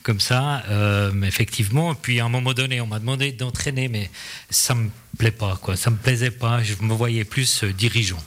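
A man with a Vaud accent, a non-native French speaker who grew up with English and Swiss German, speaking as a guest in a friendly, relaxed radio interview. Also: mid-range voice, normal speed. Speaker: guest, hockey league official.

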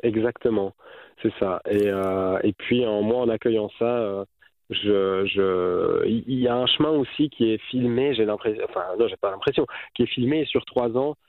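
A man's voice: fairly low pitch, normal speed, dry recording. A man in his thirties with a Swiss French accent, a guest filmmaker speaking reflectively with many fillers over a phone line. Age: 30s. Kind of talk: radio interview by phone.

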